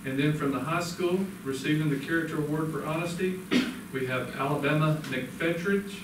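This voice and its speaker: A man's voice: a little high, normal speed. A man formally reading out award winners at a school board meeting. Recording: echoey room from far off, noisy.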